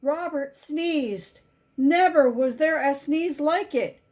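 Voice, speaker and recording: Slow, high-voiced woman reading a storybook. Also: a little mellow. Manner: simple, deliberate storytelling with emphasized key words. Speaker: volunteer children's book reader. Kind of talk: expressive picture-book read-aloud.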